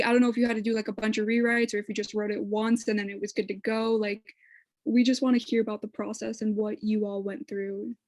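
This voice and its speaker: A woman's voice: mid-range voice, a little mellow, no echo. A woman moderating a panel, asking questions in a casual, upbeat way over a video call.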